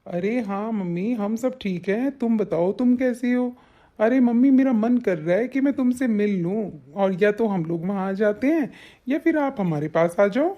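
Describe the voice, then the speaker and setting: A man's voice: high voice, lively intonation, moderate pace, highs cut off. A man voicing an affectionate adult younger son in a family cartoon drama, coaxing and pleading with his mother.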